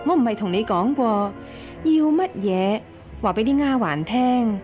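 An actress with a Hong Kong Cantonese accent playing a stern, self-righteous senior wife in a radio drama, scolding and imperious. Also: female voice, fairly high pitch, lively intonation, measured pace, a little mellow, soft background music. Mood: angry, scolding.

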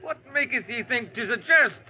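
A gloating medieval slaver in an old radio drama. His voice is extremely high and sharp, menacing and taunting in old-fashioned speech. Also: lively intonation, normal speed, some chatter behind, a little hiss or hum, heavily acted. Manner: taunting, archaic diction. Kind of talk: 1940s radio villain acting.

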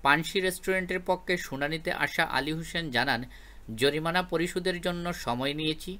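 A man reading a scripted news report as a voice-over in a formal, even newsreader manner. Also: fairly high pitch, fairly quick. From Bangladesh. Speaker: news narrator.

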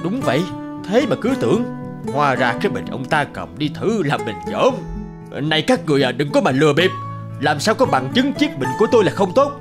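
Brief: indignant cartoon shop haggler, highish, very animated, over loud music. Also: male voice, fairly quick. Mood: realizing. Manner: argumentative, emphatic. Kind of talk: animated folk-tale dubbing. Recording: loud plucked-string music under the voice.

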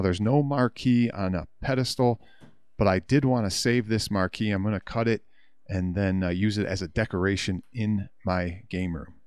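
A man's voice: fairly low pitch, no room sound, studio quality. A middle-aged American man narrating a DIY build as a hobbyist, in a plain, matter-of-fact explanatory voice-over. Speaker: hobbyist video host.

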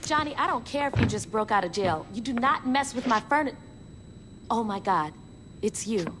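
A woman voicing a sassy, house-proud, no-nonsense gangster's girlfriend in a video game, sharp-tongued and wry. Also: on the high side, lively intonation, moderate pace, heavy background noise, close to an everyday voice. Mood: annoyed. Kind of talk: video game cutscene acting.

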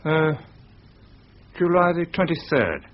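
An actor playing a chatty, sympathetic British estate agent in a radio thriller. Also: male voice, on the high side, sing-song, takes it slowly, exaggerated voice. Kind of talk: radio thriller drama acting. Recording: dull radio drama recording.

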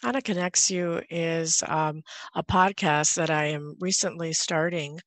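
Thirties woman on a headset video call, kinda low voice, dry sound, earnest and rambly. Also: fairly even intonation, unhurried, fairly bright tone, no echo. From Guatemala. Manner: earnest, rambling with many fillers. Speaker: guest, podcaster. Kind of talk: casual video-call interview. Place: home room indoors.

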